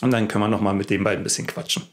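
A man's voice: fairly low pitch, level tone, very fast. A man from Berlin, Germany, hosting a tech vlog and talking casually to the camera. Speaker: YouTube tech vlogger, host.

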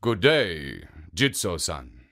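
A storyteller voicing a loud, gruff male ogre in a spoken folktale. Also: sits low, very animated, slow, voice pushed down, exaggerated voice. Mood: polite.

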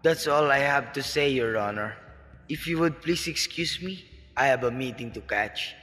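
Male actor as haughty patriarch, scornful, pompous, forceful higher voice, radio drama, long hall reverb. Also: moderate pace, close to an everyday voice. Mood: contemptuous. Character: cold, haughty, sexist father and company owner.

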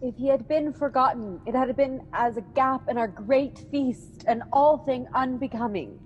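A woman's voice: high voice, moderate pace, long reverb tail, highs cut off, slight background noise, close to an everyday voice. A woman acting as a scheming noble queen in an amateur radio drama. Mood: gracious.

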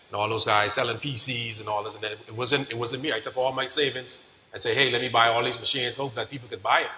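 A man's voice: medium pitch, bright, forceful tone. A Bahamian man on a conference panel, earnestly telling his story to young people. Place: large conference hall stage. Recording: echoey hall, faint noise.

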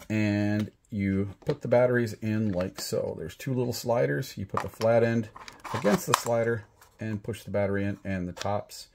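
A man with an American accent and a fairly low voice walks through gear in a casual, hands-on way. He is plain-spoken and instructional. He is recorded indoors with some noise behind him. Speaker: product demonstrator and rock hunter. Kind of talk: casual hands-on product demonstration. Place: small indoor room at a table. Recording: clearly audible background noise.

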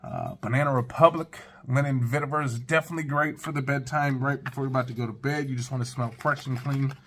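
A man's voice: mid-range voice, steady tone, fast, a bit bright, a little hiss or hum. A man in his thirties giving a casual, chatty first-impressions review of fragrances from his living room, talking straight to his viewers. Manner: laid-back, chatty, talks straight to viewers.

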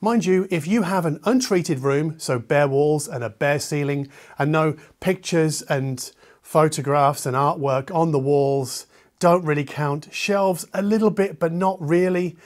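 A middle-aged man speaks to camera as the host of an audio channel. His voice sits a little higher than average for a man. He explains in a conversational, emphatic way, dropping into muttered asides, captured on a lavalier mic in a living room. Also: unhurried. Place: treated living room indoors. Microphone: omnidirectional lavalier.

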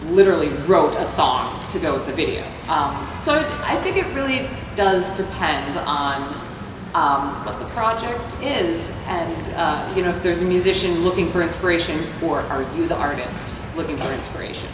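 A low-voiced woman rambles casually, filling her answers with ums. She is recorded far from the camera in a large hall that is very echoey and noisy. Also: expressive, moderate pace. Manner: casual, rambling, many fillers. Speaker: panelist, artist. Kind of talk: panel discussion. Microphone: handheld mic, far camera. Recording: echoey hall, distant mic.

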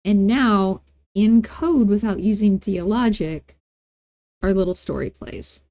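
Mellow-voiced woman, casual tutorial host. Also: medium pitch, slow, dry recording. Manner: informal, chatty, playful asides. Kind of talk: casual software tutorial screencast.

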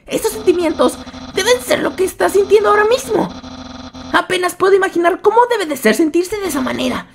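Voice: very high voice, creaky, slight background noise, exaggerated voice. A woman voicing a boastful, childish skeleton in a video game fan dub, pompous and theatrical. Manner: pompous, exclamatory, dramatic. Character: boastful, childish skeleton wanting to join the guard.

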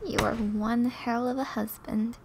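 A woman playing a flirty, teasing, affectionate wife in an ASMR roleplay, soft and often breathy, with playful, intimate delivery. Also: a little high, little pitch movement, measured pace, background noise. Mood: sultry.

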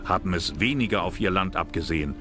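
Very deep-voiced male documentary narrator. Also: fast. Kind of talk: scripted history documentary narration. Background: background music.

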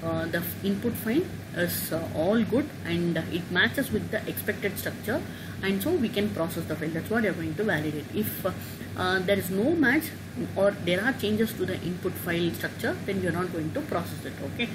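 A woman teaching a software tutorial over a screen recording, talking haltingly with many "uh" and "okay" fillers. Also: fairly low pitch, expressive, noisy background. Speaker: tutorial instructor.

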